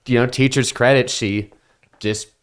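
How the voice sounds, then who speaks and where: Pria rekan pembawa acara, mengobrol santai, nada sedang. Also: male voice, medium pitch, fairly even intonation, measured pace, smooth. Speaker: radio show co-host. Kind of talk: casual conversational banter.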